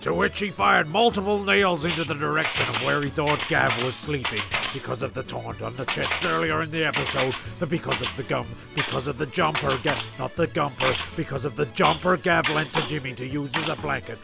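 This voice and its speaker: A man's voice: a little high, a little fast, gravelly, few pauses. A man narrating a comic story in an offhand, stumbling way, often repeating phrases. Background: music.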